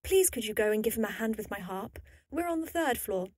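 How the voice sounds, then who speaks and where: A woman playing an eager, practical young sister in an audio drama, speaking in a polite, animated way. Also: mid-range voice, brisk, husky, dry recording. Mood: brisk, practical.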